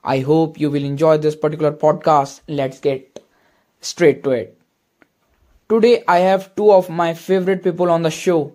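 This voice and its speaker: A man's voice: fairly high pitch, darkish tone. A man hosting a podcast, opening the episode and asking his guests questions in an earnest, slightly hesitant way. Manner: earnest, repeats phrases, hesitant fillers. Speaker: podcast host. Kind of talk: hosted podcast intro and interview.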